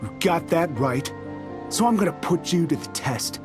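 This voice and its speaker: A brash soldier who smells of drink and is itching for a fight, voiced by a man. His voice sits fairly high, and he talks in a cocky, chuckling way, with faint game music behind. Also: lively intonation, moderate pace, natural voice. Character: boozy, battle-hungry male resistance soldier. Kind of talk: video game voice acting.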